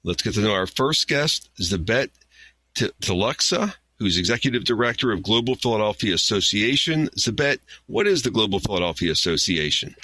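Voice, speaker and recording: A male talk radio host works a studio microphone in a folksy way. His pitch rises and falls freely, and the room adds almost no echo, so the sound is dry and close. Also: sing-song, normal speed, bright, forceful tone. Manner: folksy, hands off to panelists by name. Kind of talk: hosting a radio talk show.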